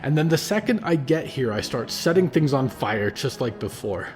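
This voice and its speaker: A man's voice: fairly low pitch, expressive, measured pace, faint music behind. A man voicing an angry, guilt-torn young man who burns when enraged. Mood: furious. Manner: strained, rising, outbursts.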